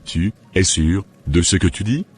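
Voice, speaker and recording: A man voicing a menacing, domineering spiritual master in an amateur cartoon dub, speaking in a commanding, deliberate way. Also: low voice, lively intonation, slightly rough, soft background music, heavily acted. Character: menacing, domineering male spiritual master, a sinister occult healer.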